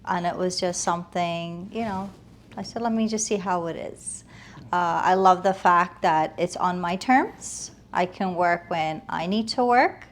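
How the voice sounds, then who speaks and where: A woman's voice: fairly low pitch, measured pace. A woman speaks as an interview guest. She is earnest and reflective, talking conversationally and stacking short phrases to make her point. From United States, moved from Afghanistan as a toddler.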